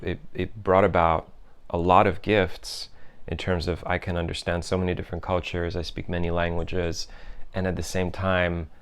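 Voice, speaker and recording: A young man with a deep, resonant voice talks through his own journey in a calm personal monologue. He speaks thoughtfully and unhurriedly, pausing on fillers and starting phrases over as he gathers his thoughts. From Europe, raised in several countries. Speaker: host.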